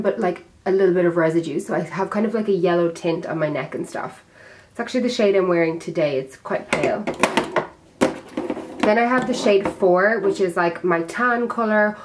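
A young woman chats casually to camera while sorting makeup, her voice a touch raspy after an illness. She talks easily and pokes fun at herself as she handles each product. A faint hiss sits under the indoor recording. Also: medium pitch. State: slightly raspy from being sick. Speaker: beauty vlogger.

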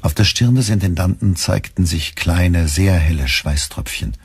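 Male narrator, deep voice, literary storytelling, scripted radio drama. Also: normal speed, slightly rough, dry recording.

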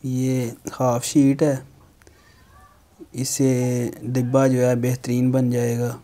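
A young man hosts a how-to tutorial. His mid-pitched voice stays very even in tone as he explains each step plainly, like an instructor. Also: takes it slowly. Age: young adult. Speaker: how-to video host.

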